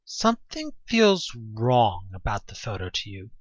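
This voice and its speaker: An expressive storyteller narrates a horror audio drama in the second person. The medium-pitched voice swings up and down with conversational asides. The recording is dry and slightly muffled. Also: measured pace, breathy edge, no room sound.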